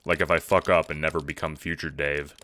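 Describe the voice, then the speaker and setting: Man playing a low-voiced, slangy young time traveler. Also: little pitch movement, a little fast, pushed and bright. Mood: curious, eager. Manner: slangy, hesitant, swearing. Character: foul-mouthed, casual young time-traveling guy. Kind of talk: audio drama dialogue.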